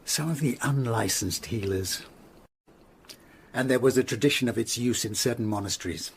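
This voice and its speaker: An actor playing a grave, methodical Tudor physician in a radio drama, explaining in a measured way. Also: male voice, mid-range voice, creaky, slight background noise, close to an everyday voice. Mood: grave, analytical.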